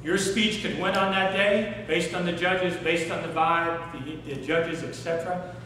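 A middle-aged man giving a workshop talk on public speaking, animated and asking the audience questions in a hotel conference room. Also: sits high, unhurried, a little hiss or hum. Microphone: far camera, no mic seen. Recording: echoey room recorded from far off.

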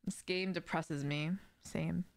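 A woman's voice: low voice, fairly even intonation, slow pace, gravelly. A young woman live streaming a game, chatting casually and speaking directly to her viewers. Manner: conversational, addressing viewers directly. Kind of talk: casual livestream chat while gaming. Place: indoor room at home.

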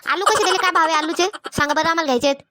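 Cartoon village woman, actress: very high and sharp, bossy, blunt haggler. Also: rapid-fire. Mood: demanding. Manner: blunt, demanding, colloquial. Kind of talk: Marathi comedy cartoon dialogue.

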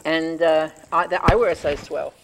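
A woman's voice: low voice, fairly even intonation, moderate pace. An older woman chatting casually as host of a studio cooking show. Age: 60s or older. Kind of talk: casual chat. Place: small TV studio kitchen set. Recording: clearly noisy TV studio recording.

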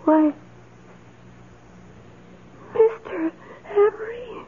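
An actress playing a meek, lonely, adoring maid in a radio drama, speaking in a timid, halting, wistful way. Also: female voice, extremely high pitch, exaggerated voice. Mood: flustered, adoring. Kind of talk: old radio drama acting. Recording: tinny, hissy old radio recording.

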